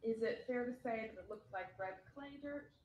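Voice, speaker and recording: A woman's voice: on the high side, normal speed, dull audio. A woman attorney cross-examining a witness in a courtroom, asking pointed leading questions with frequent ums. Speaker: defense attorney. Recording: echoey courtroom audio.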